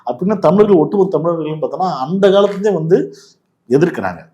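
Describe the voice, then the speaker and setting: A man with a Tamil Nadu accent talks politics very quickly in a somewhat high voice. He hosts in a casual, opinionated style, arguing and explaining his points as he goes. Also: expressive, mellow, dull audio. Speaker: political commentary host.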